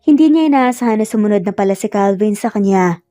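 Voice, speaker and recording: A woman's voice: medium pitch, lively intonation, fast, mellow, no echo, soft background music. A woman with a Filipino accent reading a scripted romance story as narrator.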